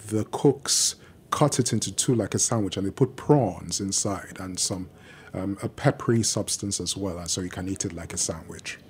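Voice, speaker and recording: A man from Nigeria, a native Yoruba speaker, talking slowly on a podcast and pausing often with ums. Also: deep voice, slightly rough. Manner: slow and measured, many ums and pauses. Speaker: guest, architectural historian. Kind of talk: podcast conversation.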